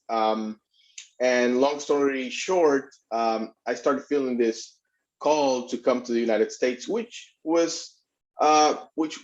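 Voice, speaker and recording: Middle-aged man, halting interview guest, mid pitch, dull recording. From Puerto Rico. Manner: halting, many restarts and fillers. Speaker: immigration attorney and guest. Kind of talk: conversational interview. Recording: dull lo-fi sound.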